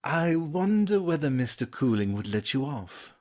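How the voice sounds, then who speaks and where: A man playing a shy, courteous young lord who speaks formally and haltingly in an audio stage play. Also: mid-range voice, sing-song, darkish tone, close to an everyday voice. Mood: tentative.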